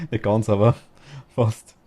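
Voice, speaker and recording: A man's voice: on the low side, mellow. A man with a Bavarian accent chatting casually while streaming a game, rambling and murmuring to himself as he goes. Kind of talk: casual gaming chat.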